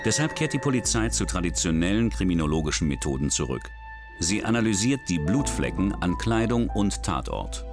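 Male documentary narrator, very low voice with lively intonation, measured and dramatic, music underneath. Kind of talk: scripted narration.

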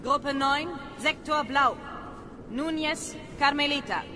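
An actress playing a brisk female army sergeant calling roll in a radio drama, clipped and official. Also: high-pitched, background noise.

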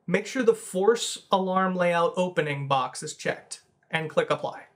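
Higher-pitched man narrating a software tutorial. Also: a little slow. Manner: methodical, instructional. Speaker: tutorial presenter.

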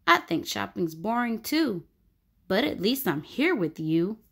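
A woman voicing a patient mother llama in a storybook, firm but gentle and coaxing. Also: mid-range voice, very animated, unhurried, creaky, slightly rough, exaggerated voice. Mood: gently pleading.

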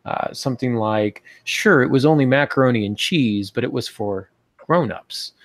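A man's voice: medium pitch, moderate pace, dry recording. An American man in his forties giving informal instruction as a podcast co-host on a video call, thinking aloud as he goes. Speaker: podcast co-host teaching fiction writing. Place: home office, on a video call.

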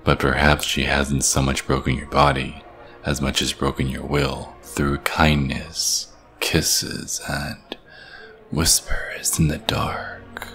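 An actor playing an ancient, menacing and seductive vampire king who taunts slowly in an ASMR roleplay. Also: rumbling low, flat delivery, pushed and bright, soft background music, heavily acted. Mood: seductive menace. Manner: slow, taunting, purring menace.

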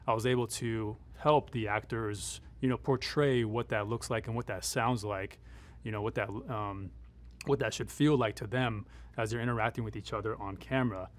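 A middle-aged man speaking as a military advisor in an interview, explaining things in a conversational, measured, matter-of-fact way. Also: lowish voice, fairly even intonation. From United States, born in Afghanistan. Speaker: military advisor and former Green Beret.

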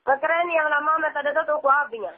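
A woman's voice: high voice, quick pace. A woman talking casually as a caller in a phone conversation. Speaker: phone caller, older relative addressed as aunt. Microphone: telephone. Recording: tinny, band-limited phone line.

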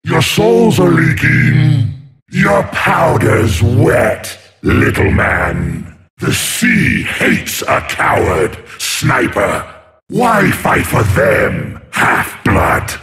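Huge sea monster, gravelly, slow and drawn out, sneering taunts, video game character. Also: male voice, a little high, wildly swooping pitch, exaggerated voice. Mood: taunting. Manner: mocking, sneering taunts with shouted outbursts.

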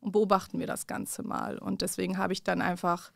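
A woman in her thirties, originally from Afghanistan, answers interview questions in a measured, reflective way. Her voice has a slight gravelly fry, and the sound is close and dry, picked up by a studio mic in a small curtained studio. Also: on the low side, little pitch movement, no room sound. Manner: thoughtful, measured, with many fillers and restarts. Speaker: guest journalist. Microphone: large studio mic on a stand.

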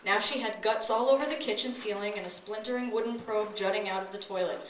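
An echoey, distant recording of a woman reading a comic story aloud on stage. She narrates in a storybook manner at a medium pitch. Faint noise sits behind her in the open pavilion. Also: fast talker. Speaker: author reading own work aloud. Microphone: distant audience camera. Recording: echoey pavilion, distant noisy camera audio.

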